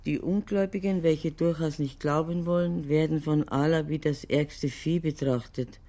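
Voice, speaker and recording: A woman's voice: low-pitched, fairly even intonation, moderate pace, dark tone, natural voice. A woman playing a sceptical, down-to-earth Bavarian sidekick in a German radio crime drama, speaking in plain colloquial dialect. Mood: astonished.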